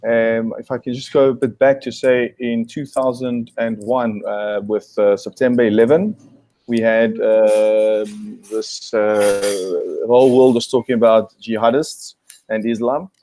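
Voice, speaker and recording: A man's voice: medium pitch, fairly even intonation, a little slow, warm tone. A man from South Africa, a guest on a video call, answering thoughtfully with many fillers and restarts.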